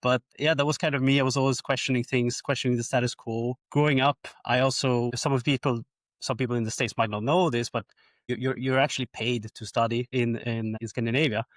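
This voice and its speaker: A man telling his background as an interview guest, friendly and quick to laugh. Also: mid-range voice, steady tone, no echo. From Sweden. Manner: friendly, laughs easily, repeats words. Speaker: guest, company co-founder. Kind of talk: interview answers.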